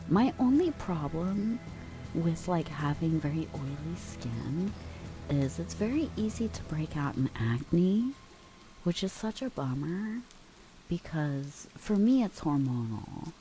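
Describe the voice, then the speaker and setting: A young adult woman streamer chatting softly with her viewers, drifting in and out of whispers, relaxed and affectionate. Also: low-pitched, slow pace, soft background music. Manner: relaxed, affectionate, reads and answers chat; drifts between soft talk and whispers. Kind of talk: soft-spoken ASMR chat with viewers.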